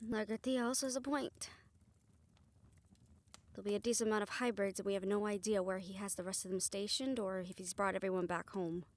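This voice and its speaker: A woman's voice: medium pitch, fairly even intonation, a little fast, darkish tone, close to an everyday voice. A woman playing a defiant, determined young woman in a fantasy audio roleplay, earnest and sardonic when challenged. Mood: tense, resolute.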